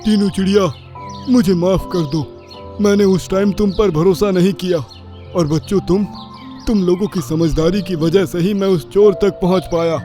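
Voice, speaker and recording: A woman voicing a stern, hot-tempered parrot king in a children's cartoon, with a booming, imperious delivery. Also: steady tone, moderate pace, warm tone, faint music behind, close to an everyday voice. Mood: apologetic, approving. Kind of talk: Hindi children's cartoon story acting.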